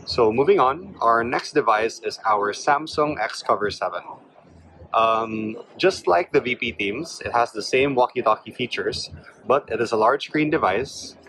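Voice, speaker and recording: A man's voice: medium pitch, lively intonation, unhurried, a bit bright, poor audio. Male product demo presenter, faint background noise. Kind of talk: product demonstration, explanatory.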